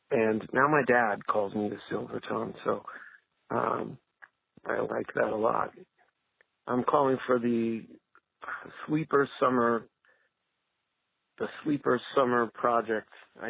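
A man's voice: mid-range voice, vocal fry, slightly rough. A man from Canada leaving a casual call-in message over a muffled phone line, speaking hesitantly and trailing off. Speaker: caller.

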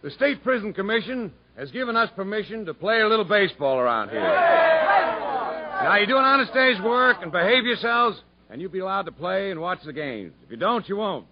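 Stern, commanding prison captain, swooping delivery. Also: male voice, sits high, sing-song, moderate pace, a crowd in the background. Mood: brisk, wry. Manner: commanding, clipped, authoritative. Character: stern, blunt prison camp captain, fair but unbending. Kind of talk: 1950s radio drama acting. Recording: dull 1950s radio broadcast recording.

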